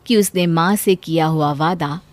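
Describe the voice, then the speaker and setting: A woman's voice: low voice, measured pace. A woman telling a scripted moral story as its narrator.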